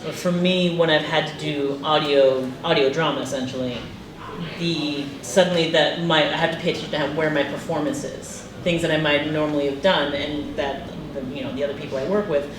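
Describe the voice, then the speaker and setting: Distant, echoey interviewer with halting phrasing. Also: medium pitch, fairly even intonation. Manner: halting, rambling phrasing with repeated restarts. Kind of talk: live on-stage conversation. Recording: distant, echoey room with clear background noise.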